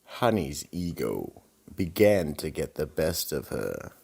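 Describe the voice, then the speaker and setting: An extremely deep, creaky-voiced horror host, played by a man, narrates an old-time radio mystery. He is slow and ominous, with theatrical swells and drops in his delivery. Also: big pitch swings, darkish tone, exaggerated voice. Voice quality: gravelly, husky. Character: sinister slow male horror host, keeper of a vault.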